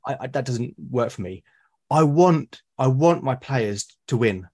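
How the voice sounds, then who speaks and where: A British man with a husky, slightly breathy voice at an ordinary pitch, speaking as a podcast guest. He talks earnestly, halting and repeating words as he gathers his thoughts. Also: expressive, darkish tone. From Britain. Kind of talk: conversational interview.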